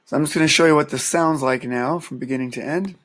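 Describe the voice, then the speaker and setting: A man teaching music, calmly talking through a software tutorial step by step with a soft voice. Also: on the high side, quick pace. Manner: calm step-by-step explaining, counting aloud. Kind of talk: screen-recorded software tutorial.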